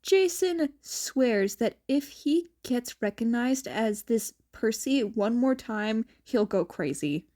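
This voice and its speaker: A woman's voice: fairly high pitch, expressive, slow pace, hardly any pauses, mellow. A woman reading fan fiction aloud as an amateur narrator, in an informal, colloquial way. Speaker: fan fiction podfic reader. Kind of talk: amateur story narration with character voices.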